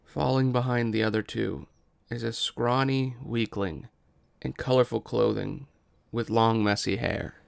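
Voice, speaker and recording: A man's voice: medium pitch, steady tone, unhurried, vocal fry, smooth, dry recording. A man reading scripted stage directions as the narrator of a musical.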